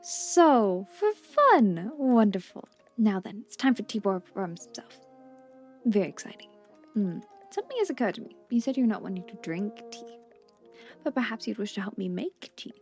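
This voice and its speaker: A woman voicing a kindly male tea shop owner who speaks with formal, quaint, gently polite phrasing. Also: medium pitch, very animated, moderate pace, faint music behind. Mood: cheerfully curious.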